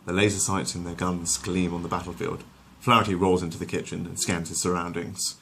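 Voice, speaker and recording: Low voiced guy reading out a bedtime story, dramatic bits then chatty asides. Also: little pitch movement, fairly quick, gravelly, a bit bright, a little hiss or hum. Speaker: storyteller and channel host.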